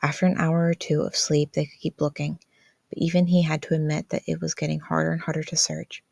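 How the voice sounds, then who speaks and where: A woman's voice: deep voice, little pitch movement, fairly quick, creaky, darkish tone, no echo, lo-fi sound. A woman reading scripted fan fiction narration as a podfic narrator.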